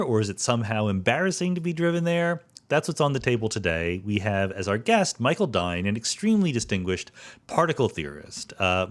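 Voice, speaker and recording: Low-voiced man hosting a podcast. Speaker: podcast host. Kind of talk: podcast interview.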